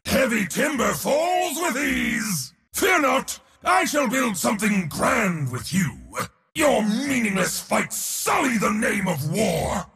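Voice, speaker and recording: An actor playing a merciless, boastful god of war in a video game, declaiming imperious taunts in a theatrical way. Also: male voice, very high-pitched, big pitch swings, slow pace, gravelly, sharp tone, shouting, heavily acted. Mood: booming defiance. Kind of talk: video game character voice lines.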